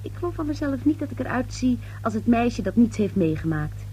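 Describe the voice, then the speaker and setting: Pushy young female reporter, high swooping voice, glib and patronizing, radio drama, noisy recording. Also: big pitch swings, fairly quick, dark tone. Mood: pushy, condescending. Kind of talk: radio play acting.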